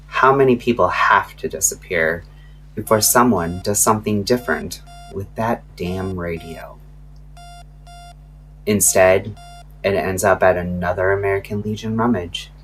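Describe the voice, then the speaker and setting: A man with a very low voice narrates scripted horror as a late-night radio host. He speaks slowly and ominously, leaving dramatic pauses between short phrases. There is faint noise under his voice. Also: a bit bright, rough recording. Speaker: radio-style host and storyteller.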